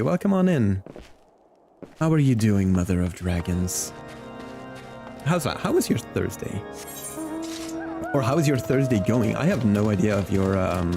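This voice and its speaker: A man in his twenties streaming a video game, chatting casually and relaxed with viewers. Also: on the low side, creaky. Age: 20s. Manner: chatty, relaxed, chats with viewers while playing.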